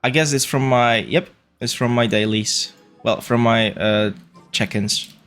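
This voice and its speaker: Male game streamer, average pitch. Offhand, thinking-aloud chat over faint game music. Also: a little slow, a bit bright. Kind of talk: casual livestream chat while playing.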